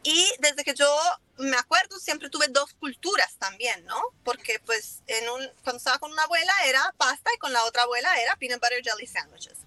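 Woman w high bright voice, foreign accent in spanish, native italian + english, chatty interview guest. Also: lively intonation, a little fast, no room sound. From Italy and Germany. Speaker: interview guest, business owner. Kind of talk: casual conversational interview.

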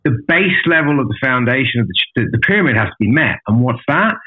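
A man's voice: medium pitch, expressive, fast, slightly rough, narrow, tinny audio. A man telling his story as a podcast guest in a casual, candid, blunt way, with lots of swearing and matey address. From an island outside Australia.

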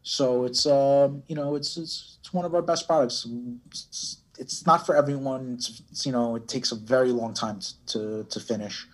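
A man giving casual interview answers, halting and often restarting his phrases. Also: mid-range voice, steady tone, poor audio. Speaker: cannabis brand owner, podcast guest.

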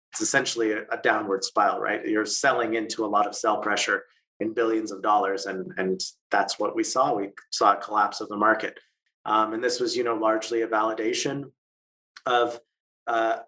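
A man with a fairly low voice talks through a technical topic as an interview guest. He pauses often, stumbles over his words and circles back with fillers as he explains. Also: a bit bright, poor audio. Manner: halting, many fillers and restarts. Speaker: interview guest, stablecoin expert.

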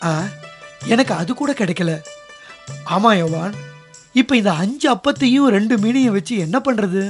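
An actor playing the disciple Peter in a radio drama, speaking in a lively, eager and colloquial way. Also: male voice, high-pitched, normal speed. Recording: radio drama with soft music underneath.

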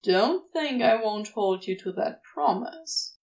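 A sardonic, teasing male demon , played by a woman reader, wily but affectionate. The delivery is casual and unhurried, with animated inflection, as in an audio story. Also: a little high. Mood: eagerly teasing. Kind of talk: dialogue in a fan fiction audio reading.

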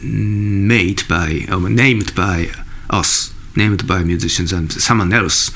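Halting deep-voiced man. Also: fairly bright tone. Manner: halting, searching for words, many fillers and restarts. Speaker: musician. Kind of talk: interview answers. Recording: faint background noise.

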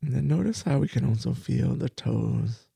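A man who is a meditation teacher, leading a guided body scan for sleep with slow, soft, soothing phrasing that repeats itself. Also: mid-range voice, gravelly, breathy edge, dry recording.

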